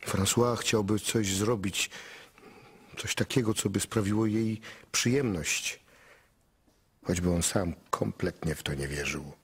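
Wry storyteller guy, radio drama, super husky low voice, thinking out loud. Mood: musing, wry. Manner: musing, unhurried. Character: musing, wry male storyteller. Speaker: narrator in a radio play. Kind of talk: dramatic third-person narration.

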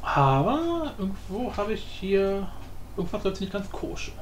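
A man's voice: sits high, sing-song, normal speed. A man thinking aloud in slangy, casual talk as he hosts a screen-recorded coding tutorial, muttering to himself. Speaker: tutorial host and hobby game programmer. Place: indoor room, voice over screen capture. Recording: roomy echo, faint background noise.

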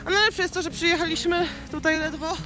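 A young woman from Poland chatting to her viewers on a night ski slope. She jokes and talks excitably into a phone held at arm's length. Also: extremely high pitch, quick pace, soft background music. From Kalisz, Poland. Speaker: vlogger. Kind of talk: casual vlog chat to viewers. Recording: noisy outdoor phone audio, wind and snow.

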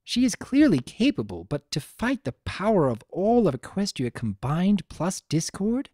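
Narrator doing royal night mare princess, raised pitch, formal old-timey n intense, storybook. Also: big pitch swings, unhurried, darkish tone, dry recording, studio quality. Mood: incredulous. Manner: formal, archaic, questioning. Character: regal, intense, incredulous female pony princess.

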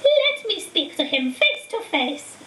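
Woman doing a cold, hissing dark lord. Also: very high voice, sing-song, pitched above the performer's own voice, exaggerated voice. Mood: menacing. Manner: slow, menacing, shrieks commands. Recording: echoey room, distant mic, faint hiss.

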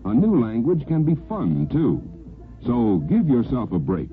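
A man's voice: medium pitch, huge pitch swings, a little slow. A man reading a scripted public service announcement as a vintage radio announcer. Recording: lo-fi vintage radio, faint music.

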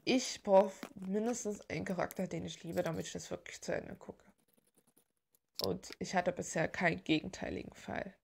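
A woman's voice: on the low side, steady tone, moderate pace, vocal fry, a little hiss or hum. A woman chatting casually and giggling as she gushes like a fan, rambling along as the host of a video. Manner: gushing, giggly.

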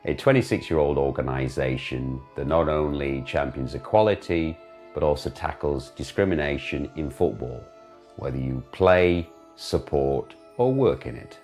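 Male voice-over, extremely low pitch, flat informative read, soft music. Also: normal speed. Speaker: documentary narrator. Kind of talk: scripted narration.

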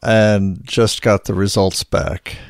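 Man, low voice, gravelly, dry close mic, rambling chatty co-host. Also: little pitch movement, takes it slowly, no echo. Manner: rambling, many restarts and fillers. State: hoarse at times, clears throat. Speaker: podcast co-host. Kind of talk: casual chat.

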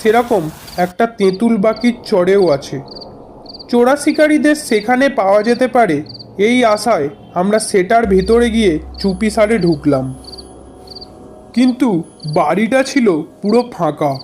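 A man playing a grandfatherly retired forest officer who tells his ghost adventure in a measured, conversational way for a horror cartoon. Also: sits high, expressive, darkish tone, a little hiss or hum. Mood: measured, suspenseful. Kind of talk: dramatised horror storytelling. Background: background music.

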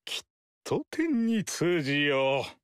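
A man's voice: on the high side, sing-song, clear, resonant tone. An actor playing a grandiose male sorcerer-priest who proclaims heaven's will in a solemn, archaic way. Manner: solemn and pompous, slow declaiming. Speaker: Zhang Jiao. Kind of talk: game voice acting.

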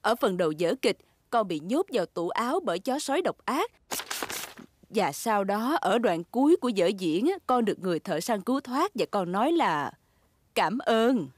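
Lively, patient cartoon animal mum. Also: female voice, mid-range voice, moderate pace. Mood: encouraging. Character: kindly encouraging animal mother, patient adult. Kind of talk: dubbed children's cartoon.

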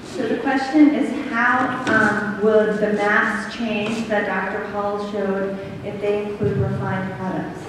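A woman moderating a conference Q&A, briskly directing the mic and repeating questions. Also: medium pitch, little pitch movement. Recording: far-off mic, echoey hall, background noise.

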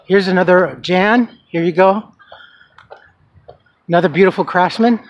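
A man's voice: high voice, a little slow, lo-fi sound. Chatty man on a street walking tour. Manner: chatty and rambling, with fillers. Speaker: vlogger giving a walking tour. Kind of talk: casual walking-tour narration. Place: outdoors on a residential street. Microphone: handheld camera, filming as he walks. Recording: outdoor noise and passing traffic. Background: traffic and street ambience.

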